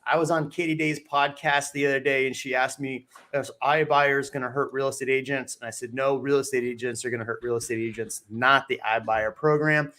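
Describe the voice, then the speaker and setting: A man hosts a relaxed real estate livestream from home over a computer mic. His voice sits at an ordinary pitch. He jokes and teases his co-hosts as he moves the talk along. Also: fairly quick, hardly any pauses. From Texas. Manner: joking, teasing. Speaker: real estate show host. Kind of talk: casual livestream chat. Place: home studio on a live stream.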